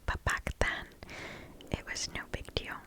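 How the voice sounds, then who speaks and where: A woman whispering breathily close to the microphone, reading stories aloud in a hushed, deliberate ASMR style. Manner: slow, hushed, deliberate storytelling.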